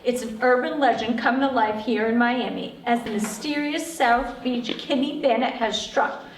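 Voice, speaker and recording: Woman acting a high-voiced TV news reporter. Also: moderate pace, natural voice. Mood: earnest, grave. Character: earnest female television news reporter, live on scene. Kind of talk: TV news report delivery in a table read. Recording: roomy, distant mic, faint noise.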